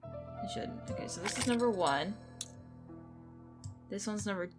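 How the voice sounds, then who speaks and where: A woman's voice: medium pitch, expressive, unhurried. A woman giving casual gameplay commentary, thinking aloud as she goes. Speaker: video game streamer. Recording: game music behind the voice.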